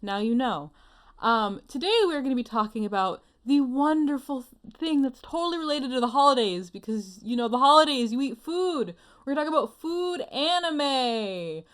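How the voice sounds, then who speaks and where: A woman's voice: high voice, animated, moderate pace. A woman hosting a casual podcast in a playful, giggly, jokey manner. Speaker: podcast host.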